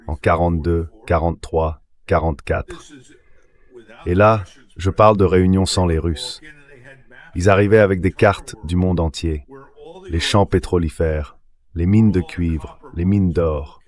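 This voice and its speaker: A man's voice: deep voice, darkish tone. An AI-generated male voice reading a translated voice-over of a guest's political commentary in an interview.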